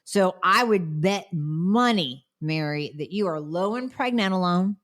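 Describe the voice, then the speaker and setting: A woman's voice: on the low side, lively intonation, measured pace, fairly bright tone. A woman doctor hosting a podcast, answering listener questions in a blunt, emphatic way with sarcastic asides, sounding nasal as she gets over a cold. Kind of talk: solo podcast Q&A.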